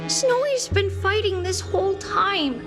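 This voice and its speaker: An excitable sweet twin sister; very high, lively, with loud game score behind. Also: female voice, unhurried, over music, exaggerated voice. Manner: excitable, gushing. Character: bubbly young twin sister, eager and sweet. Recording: game soundtrack underneath.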